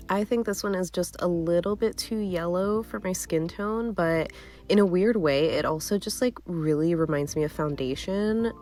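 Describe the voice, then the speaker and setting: A woman's voice: deep voice, darkish tone. A young woman with a nasally congested voice, casually reviewing nail polish in a chatty, candid way. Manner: chatty, candid, hedging with fillers. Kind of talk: casual product review vlog.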